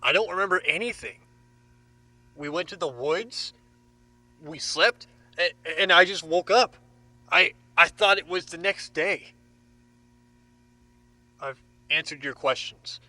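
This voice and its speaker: A man playing a bewildered, resigned captive being interrogated in a horror audio drama, speaking haltingly. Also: fairly high pitch, pushed and bright, exaggerated voice, poor audio. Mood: confused, defensive. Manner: halting, hesitant.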